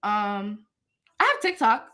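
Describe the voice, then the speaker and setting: A nineteen-year-old woman chatting playfully as a guest on a livestream, excitable and slangy, often repeating herself. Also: mid-range voice, takes it slowly. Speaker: guest, goddaughter. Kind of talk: casual livestream chat.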